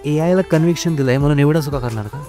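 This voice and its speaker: A man's voice: on the high side, very fast, warm tone, soft background music, natural voice. A man playing a cocky, sarcastic wolf who hosts a comedy podcast, mocking and hesitant, mixing in English words. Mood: exasperated, mocking. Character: cocky, sarcastic male wolf podcast host, secretly cowardly. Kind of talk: scripted comedy podcast monologue.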